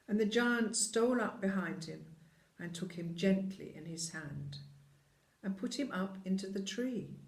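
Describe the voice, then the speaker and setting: Storybook narrator, slow and deliberate, dramatic phrasing, medium pitch, echoey room. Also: a little mellow. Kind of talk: expressive scripted storybook narration.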